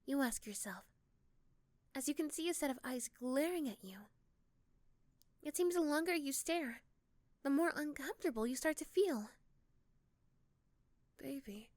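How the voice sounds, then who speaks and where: High-voiced woman narrating an ASMR story. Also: animated, normal speed, long pauses, darkish tone, no room sound. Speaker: narrator and voice performer. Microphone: close microphone.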